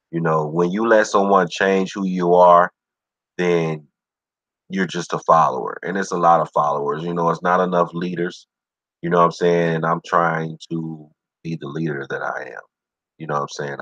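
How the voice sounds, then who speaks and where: A man's voice: low-pitched, fairly bright tone, dry recording, lo-fi sound. A man hosting his own livestream show, talking loosely and encouragingly, constantly saying "you know what I'm saying". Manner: easygoing, encouraging. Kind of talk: casual livestream talk.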